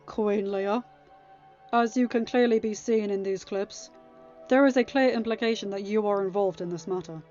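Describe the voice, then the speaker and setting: A woman's voice: mid-range voice, normal speed, darkish tone, dull audio, close to an everyday voice. A woman voicing a firm, formal young king presiding over a council in a story reading. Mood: stern. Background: music.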